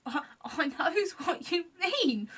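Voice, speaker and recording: A woman voicing Sniff, a timid, floppy-eared male creature from a children's storybook, drawing out his lines slowly. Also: high voice, very animated, bright, forceful tone, pitched above the performer's own voice, heavily acted. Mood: puzzled.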